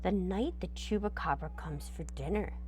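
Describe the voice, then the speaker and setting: A woman's voice: fairly low pitch, normal speed, darkish tone, natural voice. A woman voicing a clever, bold goat who takes charge, in an emphatic, rallying storybook delivery. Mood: ominous.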